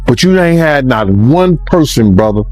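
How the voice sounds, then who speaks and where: A man's voice: mid-range voice, animated, moderate pace, darkish tone, soft background music. A man giving passionate, opinionated commentary, emphatically repeating his phrases.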